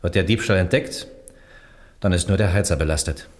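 A man's voice: sits low, brisk, lightly acted. A man playing an informal, matter-of-fact police detective reasoning through a case in a German crime radio play. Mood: deductive.

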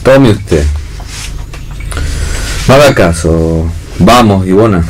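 A man's voice: low-pitched, animated, slow, a little hiss or hum. A man playing an impatient, sardonic, respectable suitor in a radio play reading.

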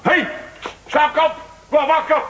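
An actor in a radio drama playing a greedy, excitable, meddling middle-aged father-in-law. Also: extremely high pitch, measured pace. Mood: overjoyed, greedy.

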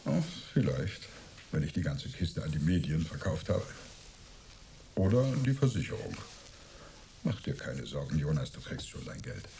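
A man's voice: a bass voice, sing-song, creaky, mellow, slight background noise, exaggerated voice. An actor playing a sly, chummy shady fellow in a crime radio play, wheedling and smug as he speaks. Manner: wheedling, smug, drawn-out words. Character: sly, chummy shady old acquaintance in hiding. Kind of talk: German sci-fi crime radio play acting.

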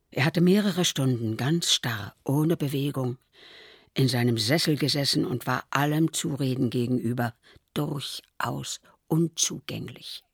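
An older woman playing an aging mother who soberly recalls her troubled son in a radio play, her voice low, husky and creaky. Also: no room sound, studio quality, full character voice. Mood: grave.

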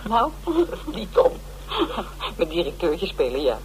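Gossipy stage actress, very lively intonation, brazen, candid, theatrical, radio drama, faint noise. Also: female voice, on the low side, takes it slowly, rough recording. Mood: teasing, brazen. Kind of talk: radio drama acting, casual dialogue.

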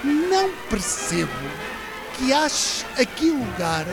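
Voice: sits high, sing-song, slow pace, exaggerated voice. A student actor playing a flustered official who stammers constantly, in a radio drama with music behind. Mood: indignant.

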